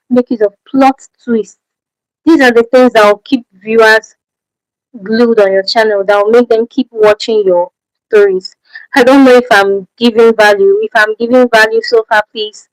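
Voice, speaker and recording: A woman's voice: a little high, dry recording, low-quality recording. A Nigerian woman whose first language is Efik, hosting a livestream Q&A and giving advice. She repeats her key points and keeps checking in with rhetorical questions. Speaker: channel host.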